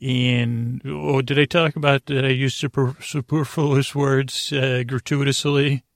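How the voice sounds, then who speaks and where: A man hosting a bedtime podcast, meandering and halting as he rambles, often trailing off mid-thought. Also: medium pitch, little pitch movement, gravelly, no room sound, studio quality. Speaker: podcast host.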